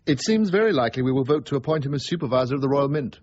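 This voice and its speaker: An actor playing an urbane, wry aristocratic politician in a period radio drama, courteous, dry and measured. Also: male voice, mid-range voice, animated, a little mellow, lightly acted. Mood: grave. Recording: dull, muffled radio recording.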